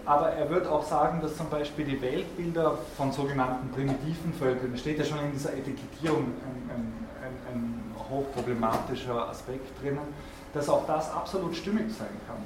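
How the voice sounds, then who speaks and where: A man's voice: medium pitch, fairly quick. A man giving a university philosophy lecture, thinking aloud with frequent hesitations and repeated words, recorded by a student in a lecture hall. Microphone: student's recorder in the room. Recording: echoey lecture hall recording with faint noise.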